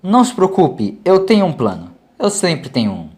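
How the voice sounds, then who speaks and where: A man's voice: on the high side, huge pitch swings, moderate pace, a little mellow, pitched above the performer's own voice. A Brazilian man voicing a confident, resolute time-travelling doctor in an audio story. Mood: assured, emphatic.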